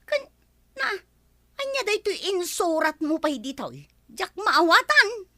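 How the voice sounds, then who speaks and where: A woman's voice: very high voice, big pitch swings, a little slow, pushed and bright, heavily acted. A woman playing an irritable, bossy female schoolteacher who scolds in exasperation in an Ilocano radio comedy drama. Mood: irritated, scolding.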